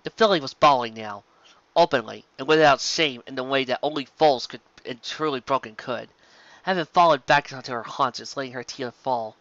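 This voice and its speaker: A woman reading a story aloud as its narrator, in an expressive scripted style. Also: a bass voice, moderate pace, no echo, lo-fi sound.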